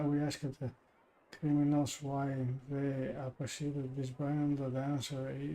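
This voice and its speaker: Slow, monotone man reading aloud haltingly. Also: mid-range voice, poor audio. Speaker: live streamer. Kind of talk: reading game text aloud. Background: faint game music.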